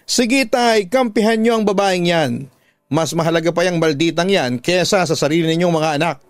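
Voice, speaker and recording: A man's voice: sits high, moderate pace, no echo, clean studio sound, voice pushed up, lightly acted. A man voicing a fierce, protective young woman in a radio drama, scolding in an indignant, emphatic way. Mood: hurt, angry. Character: fierce, protective young woman confronting her father's mistress. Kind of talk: Tagalog radio drama acting.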